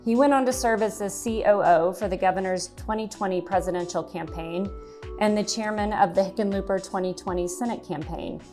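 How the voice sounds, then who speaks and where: A woman's voice: mid-range voice, a little fast, darkish tone, soft background music. Woman podcast co-host, polished and upbeat. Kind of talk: scripted intro and interview questions.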